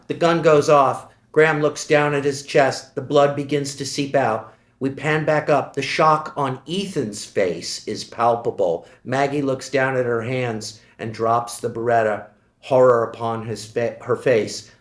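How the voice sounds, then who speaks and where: A man's voice: medium pitch, fairly even intonation, a little slow. Man reading stage directions in an echoey room. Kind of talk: screenplay table read narration.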